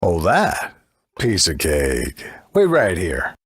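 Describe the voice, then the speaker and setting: A man voicing a good-natured, slightly bumbling strong old master in a video game, easygoing and drawn-out. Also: deep voice, huge pitch swings, a bit bright, breathy edge, dry recording, full character voice. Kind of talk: video game character acting.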